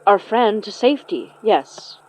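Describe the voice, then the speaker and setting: An actress playing a stubborn, protective young magic practitioner in an audio drama, earnest and confrontational. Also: female voice, medium pitch, expressive, measured pace, darkish tone, no echo, natural voice, low-quality recording. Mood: determined.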